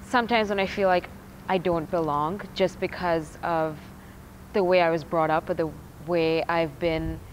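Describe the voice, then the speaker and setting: A woman's voice: fairly low pitch, steady tone, noisy background. Reflective teen girl from India. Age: teens. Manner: reflective and halting, restarting words. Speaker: student interviewee. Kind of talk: documentary interview.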